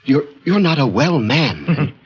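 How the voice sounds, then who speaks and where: An actor playing a sober, concerned doctor in a 1940s radio drama, speaking in an earnest, hesitant way. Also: male voice, on the high side, sing-song, slow, breathy edge, full character voice. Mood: shocked, reasoning. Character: concerned, incredulous male doctor, sober and reasoning. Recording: dull vintage radio recording.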